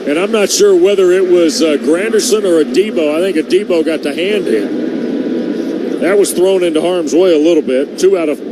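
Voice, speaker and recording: A man's voice: sits high, normal speed, noisy background. An American man doing live radio play-by-play commentary of a football game from a stadium booth. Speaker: play-by-play announcer. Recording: loud stadium din behind voice.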